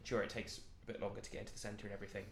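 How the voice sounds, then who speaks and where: Young male guest; talkative, rambling, laughs easily, low pitch. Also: level tone, rapid-fire, a bit bright. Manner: chatty, laughs often, rambling. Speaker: podcast guest. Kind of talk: casual chat.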